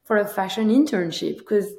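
Female founder guest, Belgian accent, chatty storyteller, medium pitch, echoey room. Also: normal speed, dark tone. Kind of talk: casual interview storytelling. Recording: roomy, echoing sound.

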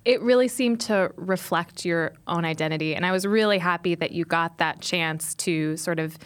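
A woman's voice: fairly low pitch, moderate pace, clear, resonant tone. A woman from the San Francisco Bay Area hosting an interview, chatty and asking leading questions. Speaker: interviewer, podcast host. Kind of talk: conversational interview.

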